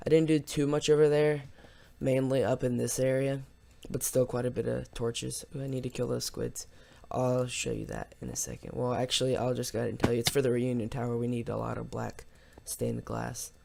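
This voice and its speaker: A man hosts a gaming video in a lower-pitched-than-nothing, ordinary mid-range voice with plenty of creak. He is slightly hoarse and talks in a casual, unscripted ramble. Faint noise sits behind him in a home recording. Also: flat delivery, a little fast, few pauses, dark tone. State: slightly hoarse after losing voice from yelling. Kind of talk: casual let's play commentary.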